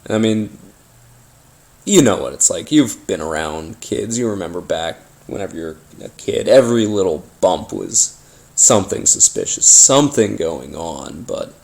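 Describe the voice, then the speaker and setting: A man telling a first-person story casually, as if being interviewed, in a halting, hesitant way with pauses and repeats. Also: low-pitched, lively intonation, creaky, a little mellow. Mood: uneasy, reflective. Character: ordinary man recounting childhood brushes with a haunting.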